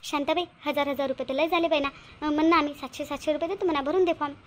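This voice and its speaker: A woman's voice: high-pitched, fairly even intonation, racing, a little hiss or hum, close to an everyday voice. A woman voicing an eager, trusting village housewife in a Marathi cartoon, chattering colloquially with a rural Vidarbha accent. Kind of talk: Marathi cartoon moral-story dialogue.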